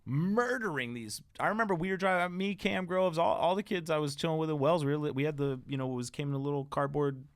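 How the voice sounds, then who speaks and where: A man hosting a podcast, chatting casually and reminiscing with excitement, quick to jump in. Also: on the high side, no room sound, clean studio sound. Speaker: podcast host. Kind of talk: casual interview chat.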